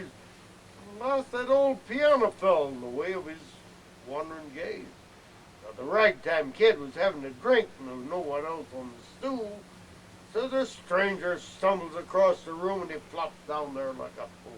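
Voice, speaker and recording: A man giving a theatrical recitation of a narrative frontier ballad, swelling with emphasis and dropping to hushed lines. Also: fairly high pitch. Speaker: amateur poetry reciter. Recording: noisy amateur VHS home video.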